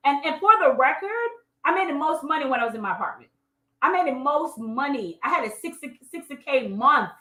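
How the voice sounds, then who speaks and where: A high, bright voice from a woman with a Jamaican accent, recorded in a roomy, echoing space. She coaches in an emphatic, colloquial way, circling back over her phrases. She speaks straight to her online audience and weaves in personal stories. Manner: emphatic, repetitive, colloquial direct address. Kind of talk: motivational live training with personal stories.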